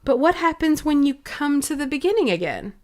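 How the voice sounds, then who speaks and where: Storybook little girl voiced by a woman, curious, proper, lively high pitch. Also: fairly quick. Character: curious, polite, easily offended little girl.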